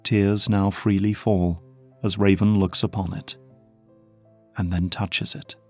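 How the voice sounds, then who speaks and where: A man's voice: deep voice, little pitch movement, slow, darkish tone, faint music behind. A man reading scripted narration for an audio drama.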